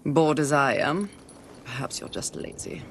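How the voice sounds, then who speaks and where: A woman's voice: low-pitched, slow pace, a little hiss or hum. A woman voicing a bored, mocking, bloodthirsty horseman of the apocalypse in a video game, haughty and sardonic. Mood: bored, amused. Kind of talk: video game cinematic voice acting.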